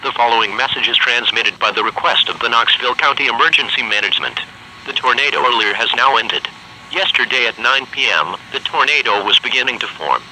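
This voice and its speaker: Male announcer, very sharp bright tone over faint noise, formally reading scripted emergency notices. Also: mid-range voice, rough recording.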